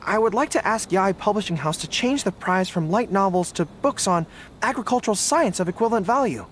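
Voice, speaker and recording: A man's voice: sits high, expressive, a little fast, a bit bright, slight background noise. An actor playing an earnest young male soldier in a video game, hesitant and polite, tending to stammer. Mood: nervous, earnest. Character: earnest young dog-eared soldier, male, flustered but dutiful. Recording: game music behind.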